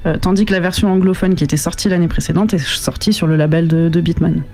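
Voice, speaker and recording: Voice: mid-range voice, steady tone, fast talker, mellow. Chatty radio co-host over music. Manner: hesitant, chatty, informal. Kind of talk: casual unscripted music presentation.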